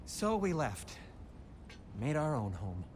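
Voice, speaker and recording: Guilt-ridden fussy dwarf, noisy backdrop. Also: male voice, medium pitch, big pitch swings, slow pace, a little mellow. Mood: guilty, confessional. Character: guilt-ridden, fussy male dwarf, anxious but kindly. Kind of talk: video game cutscene acting.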